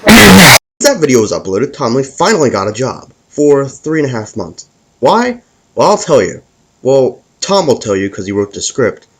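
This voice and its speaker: A man narrates a scripted comic story as its host. His mid-pitched voice swings up and down in an animated way, and he trips over and repeats words as he reads. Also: normal speed, poor audio. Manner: stumbles and repeats words while reading.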